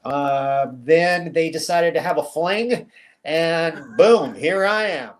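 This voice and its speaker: A middle-aged man born in Cuba, a guest telling family stories in a relaxed, easy way and laughing often. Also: a little high, takes it slowly. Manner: relaxed storyteller, laughs easily. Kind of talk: casual interview chat.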